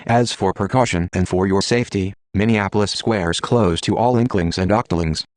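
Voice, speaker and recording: A man's voice: deep voice, moderate pace, darkish tone, no room sound, studio quality. A man reading a scripted emergency alert as an announcer.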